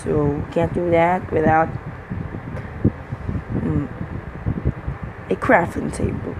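Slow, hesitant Filipino-accented gamer guy. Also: a little high, steady tone, background noise. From Philippines. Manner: hesitant, halting, many fillers and restarts. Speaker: gaming channel host. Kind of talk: casual let's-play commentary.

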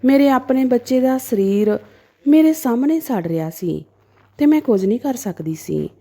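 A woman's voice: a little high, warm tone. A woman narrating a dramatic story in the first person as a storyteller.

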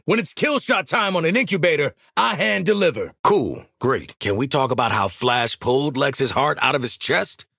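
A man with an American accent voicing a cocky, sardonic marksman assassin in a video game, streetwise and wry, with a gritty, husky voice. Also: medium pitch, fairly bright tone, dry recording, clean studio sound, heavily acted. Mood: urgent, commanding, then wry, cool. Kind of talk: video game combat barks and banter.

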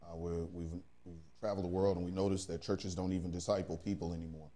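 Deep voiced pastor, middle-aged, introducing himself on a panel. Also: male voice, flat delivery, fast, warm tone. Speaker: pastor, talk-show guest. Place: indoor TV studio, seated.

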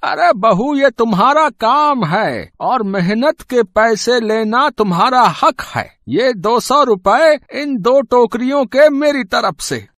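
A man's voice: very high-pitched, lively intonation, slow pace, hardly any pauses, fairly bright tone, no room sound, studio quality, exaggerated voice. An actor playing a kindly elderly male neighbour who sells fruit in a cartoon story, generous and approving.

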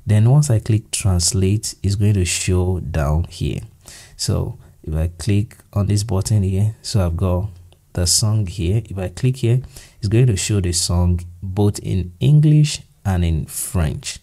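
Deep, gravelly Nigerian man. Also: takes it slowly. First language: Yoruba. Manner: relaxed, thinking aloud with fillers and restarts. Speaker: software tutorial presenter. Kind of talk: screen-recorded software tutorial. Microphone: voice-over to screen recording.

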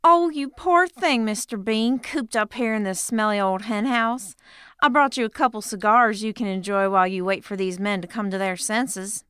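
Woman playing very smooth, fussy frontier lady. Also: medium pitch, normal speed, a bit bright, no echo, natural voice. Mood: sympathetic. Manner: cooing sympathy. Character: pitying, fussy Old West lady visitor. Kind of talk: audio drama acting.

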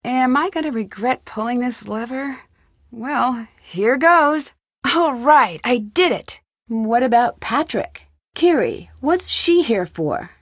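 A woman's voice: on the high side, expressive, takes it slowly, dry recording, clean studio sound, exaggerated voice. A woman playing an inquisitive, lightly wry young female detective in video game dialogue. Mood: curious. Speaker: video game lead character. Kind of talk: video game dialogue, separate questions and replies.

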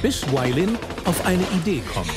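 A man's voice: high voice, very animated, moderate pace. A man narrating an action audio drama in a scripted, dramatic storytelling manner. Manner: dramatic, present-tense storytelling. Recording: loud film music and vehicles behind. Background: loud music, vehicle sounds.

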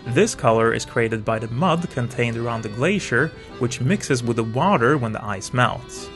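A middle-aged man from Sweden narrating his motorcycle travel vlog in a calm, measured voice-over. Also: mid-range voice. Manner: calm, informative, measured delivery. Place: voice-over, not recorded on the road. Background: faint music.

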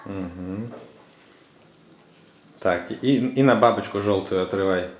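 A man's voice: low voice, slow pace. A man talking casually as a father, prompting and instructing children through a craft at home. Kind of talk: casual family chat. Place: indoor room at home. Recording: roomy echo, faint background noise.

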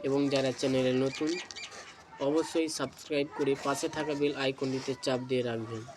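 A man reading out exam questions and answers as a tutor, in deliberate, segmented phrases. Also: medium pitch, steady tone, noisy background. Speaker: exam tutor, channel host.

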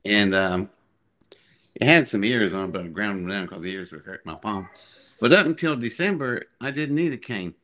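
Older male interview guest, lowish voice, rambling matter-of-fact storyteller on a video call. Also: lively intonation, normal speed, pushed and bright. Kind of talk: casual chat, telling anecdotes. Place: living room on a sofa, on a video call. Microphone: device mic at some distance, video call.

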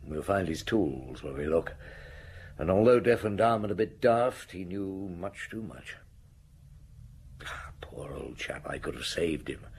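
A gruff, shrewd police inspector in a radio drama. His voice is low and husky, with lively swings as he commands and reasons. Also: measured pace, pauses often, heavily acted. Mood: grim, regretful.

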